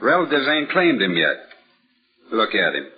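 An actor playing a blunt, chatty morgue attendant who talks in working-class slang, in 1940s radio style. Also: male voice, mid-range voice, wildly swooping pitch, moderate pace, breathy edge, exaggerated voice. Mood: matter-of-fact. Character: slangy, matter-of-fact male city morgue attendant. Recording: muffled old-time radio recording.

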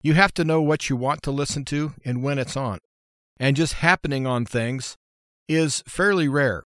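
A man's voice: mid-range voice, moderate pace, fairly bright tone, no room sound, clean studio sound. An American man hosting a show, giving informal how-to advice in a plainspoken, folksy, explanatory way. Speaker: radio show host.

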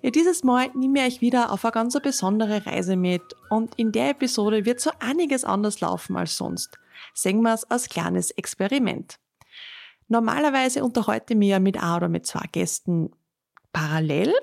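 A woman's voice: medium pitch, gravelly, darkish tone, no room sound, clean studio sound. A woman from Austria with an Austrian accent, hosting a travel podcast, narrating and interviewing. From Austria (born in Carinthia, grew up in Styria). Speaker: podcast host.

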